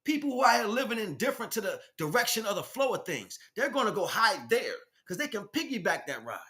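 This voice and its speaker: A man's voice: sits high, very animated, brisk, pushed and bright. An American man delivering an informal spiritual monologue as a teacher, speaking emphatically in streetwise slang and addressing listeners directly.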